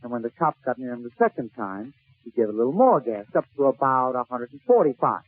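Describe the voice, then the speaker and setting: A man's voice: mid-range voice, animated, quick pace, husky. A male comedian telling funny stories in a 1940s radio style, with theatrical, short, punchy phrases. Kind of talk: 1940s radio comic storytelling. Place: radio broadcast. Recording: tinny, narrow-band 1940s radio recording.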